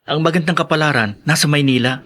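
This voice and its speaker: A man's voice: on the high side, fast talker, fairly bright tone, close to an everyday voice. A man playing an upbeat, dreamy young Filipino student from the province in a radio drama, eager and optimistic. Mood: hopeful, earnest.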